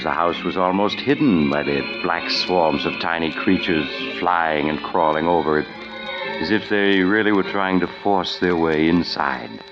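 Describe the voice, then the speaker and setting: Very deep-voiced radio narrator. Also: male voice. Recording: dull vintage radio recording with music.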